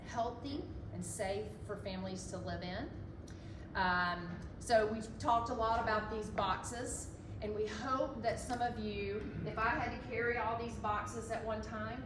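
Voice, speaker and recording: A woman giving an earnest, encouraging public talk in a library meeting room, speaking loudly without a microphone. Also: mid-range voice. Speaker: community speaker. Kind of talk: public address. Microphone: not using the microphone. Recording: echoey room from far off, noisy.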